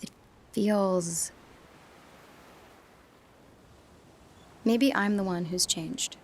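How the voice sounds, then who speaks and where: An actress playing a young female university student in a radio drama, reflecting quietly and sometimes dropping to a whisper. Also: mid-range voice, slow pace, slight background noise. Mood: uncertain. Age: young adult.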